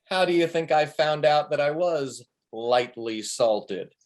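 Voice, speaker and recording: A man acts out a cocky tour manager in a stage comedy reading. His fairly high voice swings widely in pitch. He is crude and bossy, handing down advice like a self-styled expert. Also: animated, takes it slowly. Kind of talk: comedy play table reading. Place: remote reading over a video call.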